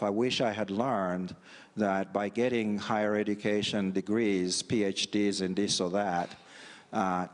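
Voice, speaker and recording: A middle-aged man with a very deep voice speaks on a conference panel. He halts often, filling gaps with ums and uhs. His voice rings with the long reverb of a large hall. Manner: hesitant, halting with many fillers. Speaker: panelist, engineering dean. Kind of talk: panel talk.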